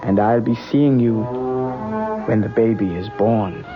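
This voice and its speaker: An actor playing a grave, brooding fortune teller in an old-time radio drama, speaking in a deliberate, ominous way. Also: male voice, lowish voice, natural voice. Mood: ominous, solemn. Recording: dull old radio recording, music behind.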